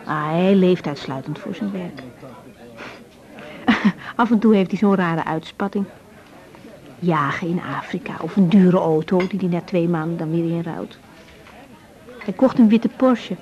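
A woman's voice: fairly low pitch, a little slow, pauses often, mellow, close to an everyday voice. An actress playing a young, self-doubting woman doctor in a naturalistic radio drama, speaking hesitantly and frankly. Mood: frank, confiding. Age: young adult. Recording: dull 1980s radio recording.

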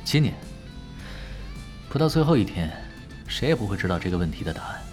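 A man playing a nonchalant, confident young pro gamer in a Chinese radio drama, teasing and quietly assured. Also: fairly low pitch, sing-song, brisk. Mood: calm, assured. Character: laid-back, confident young male pro gamer who ran away. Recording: music behind the voice.

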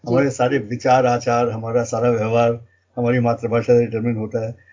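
A man's voice: medium pitch, level tone, normal speed, darkish tone. A middle-aged Indian man co-hosting a podcast in casual conversation. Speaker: podcast co-host. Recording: dull, lo-fi audio.

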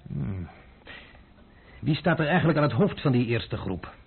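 A man's voice: mid-range voice, expressive, measured pace, vocal fry, breathy edge, exaggerated voice. An actor playing a stubborn, wary young man in a radio play, with a dry, hesitant, thinking-aloud manner. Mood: wary, probing. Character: stubborn, wary young Dutchman, puzzled but cheeky. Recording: tinny, narrow-band old radio recording with hiss.

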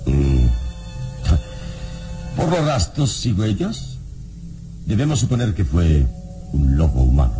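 An actor playing a grave, firm investigating hero in an old radio drama, speaking deliberately. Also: male voice, deep voice, very animated, music in the background, heavily acted. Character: solemn, unshakeable male hero investigating murders.

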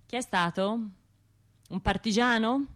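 An actress with a Tuscan accent playing a wounded, self-reproaching bombing survivor in an intimate radio drama monologue, halting and colloquial. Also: female voice, fairly high pitch, fairly bright tone. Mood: wistful remembering. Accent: Tuscan Italian. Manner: halting, colloquial, shifting between murmurs and outbursts.

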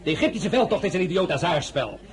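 An actor playing a forthright, sceptical French general, emphatic and sardonic, in a radio drama. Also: male voice, high-pitched, very animated, quick pace, a crowd in the background. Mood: heated, emphatic.

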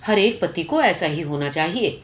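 A woman voicing an imperious, calculating young rich heiress in a story, firm and sharp as she dictates terms. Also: fairly low pitch, lively intonation, highs cut off.

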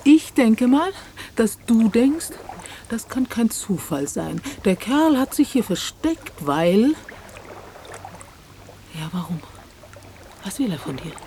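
An actress playing an inquisitive, spirited woman in a radio play, with a questioning, teasing, expressive manner. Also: on the high side, slow, pauses often, a little hiss or hum, exaggerated voice. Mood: probing. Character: inquisitive woman, spirited old flame of a journalist.

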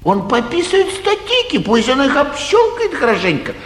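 Flighty, self-satisfied young gentleman; high, swooping delivery, stage comedy in a reverberant hall. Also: wildly swooping pitch, normal speed, a little hiss or hum, exaggerated voice. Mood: gleeful, boastful. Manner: breezy, careless, self-satisfied. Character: boastful, flighty young gentleman mistaken for an official. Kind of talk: theatrical 1940s stage comedy acting. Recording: echoey 1949 theatre radio production.

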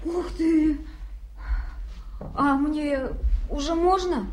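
A woman's voice: high voice, drawn-out words, slight background noise. An actress playing a playful, cheeky young girl student in a radio drama, exclaiming and teasing in a childlike way. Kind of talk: Soviet radio drama acting.

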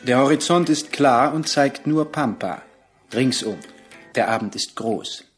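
Male actor radio drama chorus narrator, medium pitch, grave, poetic, faint music behind. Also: measured pace, close to an everyday voice. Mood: calm, grave.

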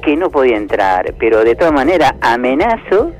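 A man's voice: mid-range voice, big pitch swings, fairly quick, soft background music, lightly acted. A man putting on a cheeky, slangy Argentine caller in a comic radio phone-in, a joking immigrant character. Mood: playful. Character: joking Argentine-Bolivian prawn caller posing as psychologist. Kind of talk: improvised comic radio call-in.

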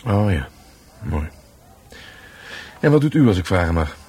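Low, husky, lively Dutch police detective. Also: male voice, a little slow, full character voice. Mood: curious, probing. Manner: probing, hesitant questioning. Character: inquisitive polite male police detective, tactful and informal. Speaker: actor in a radio drama. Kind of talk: radio drama dialogue.

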